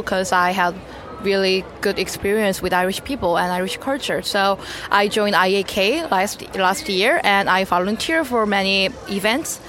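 A woman speaks English with a Korean accent, choosing simple words and explaining herself sincerely. Her voice is at a middle pitch. Soft music drifts faintly in the background. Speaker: promotions officer. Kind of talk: interview answers.

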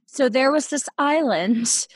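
A woman in her late thirties, casually telling a story as a podcast guest from her bedroom, chatty and quick to laugh. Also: high-pitched, expressive, no echo. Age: 30s. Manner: chatty, giggly, self-deprecating.

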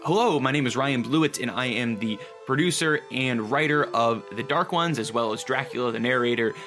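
A man's voice: mid-range voice, brisk, pushed and bright, faint music behind. A man speaking as the narrator and presenter of a radio drama. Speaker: writer, producer and narrator.

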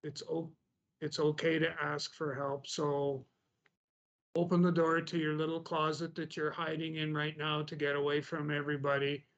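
A Canadian man guest on a podcast, speaking in a halting, earnest way. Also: a little high, completely flat delivery, fairly bright tone, dull audio. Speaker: guest, mentor. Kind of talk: casual chat.

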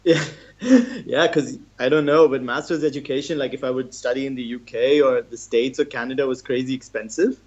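A young man from India, a guest in a casual interview, relaxed and chatty, laughing often. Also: medium pitch, brisk, dull audio.

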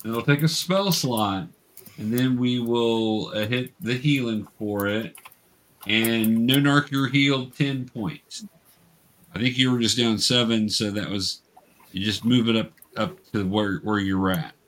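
A man's voice: on the low side, unhurried, a bit bright. A man explaining and narrating a tabletop game as game master, casually and patiently.

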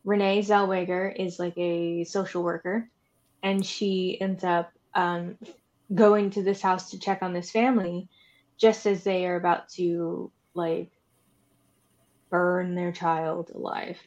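A woman's voice: lowish voice, level tone, slow pace, a little mellow, highs cut off. A woman co-hosting a podcast, chatting casually and informally about horror films with wry, opinionated asides. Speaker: podcast co-host.

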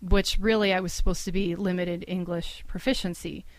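A woman's voice: lowish voice, little pitch movement, moderate pace, dry recording. A middle-aged woman from Cleveland, a school's founding director, talking casually in an interview with many fillers and easy laughs. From Cleveland, USA.

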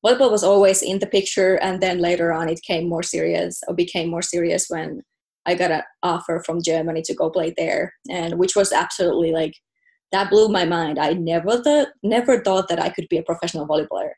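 A woman's voice: on the low side, steady tone, fairly quick, darkish tone. A young woman from Finland with a Finnish accent, a native Finnish speaker, chatting casually as a podcast guest with many fillers and restarts. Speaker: guest, professional volleyball player. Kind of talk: casual interview chat.

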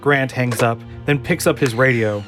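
A man reading scripted narration for an audio drama in a measured, dramatic way. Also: mid-range voice, over music.

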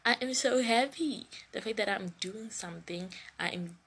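A woman's voice: mid-range voice, normal speed, a bit bright, slightly rough. A young woman hosting a solo podcast, talking in a rambling way and often restarting her sentences. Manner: rambling, restarts and self-corrects. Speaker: podcast host.

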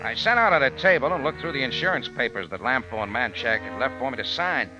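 A tough, wry hardboiled hero, played by a man on a dull, band-limited vintage radio recording with music behind. He talks quickly in terse slang, in an animated voice. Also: mid-range voice, close to an everyday voice. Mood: brisk narrating. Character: tough, wry American-style hardboiled bar owner hero. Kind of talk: hardboiled radio drama narration and dialogue.

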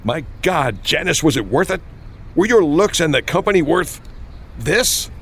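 A man's voice: a little high, big pitch swings, a little slow, fairly bright tone, a little hiss or hum, full character voice. A man playing an indignant sales executive with a conscience in a campy audio drama. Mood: grim, dismayed.

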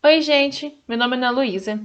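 A woman's voice: high voice, normal speed, fairly bright tone. A young woman from Brasília hosting a podcast, introducing herself in a friendly, chatty way. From Brasília, Brazil. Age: young adult. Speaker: podcast host.

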